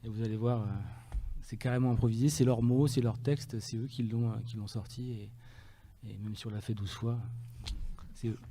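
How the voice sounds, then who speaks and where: A man with a very dark, mellow voice at a middle pitch. He speaks haltingly, with many restarts, as a guest on a radio show. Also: steady tone. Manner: hesitant, many fillers and restarts. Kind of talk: radio interview.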